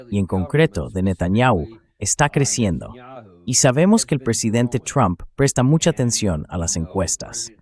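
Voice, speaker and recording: A man's voice: fairly low pitch, a little mellow. A man's synthetic AI voice reading a translated political commentary as a voice-over.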